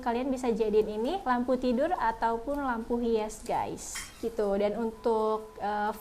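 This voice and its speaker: A young woman with a high voice presents a product review in a casual, chatty way. She talks straight to her viewers in informal language. Clear background noise sits behind her voice. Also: darkish tone. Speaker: product review video host.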